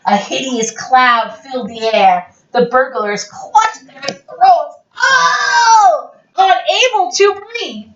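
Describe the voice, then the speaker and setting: Woman storyteller for a kids' read-aloud, slow and theatrical, very lively pitch swings. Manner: theatrical, expressive, playful. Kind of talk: animated children's picture book read-aloud. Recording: roomy, dull lo-fi, distant mic.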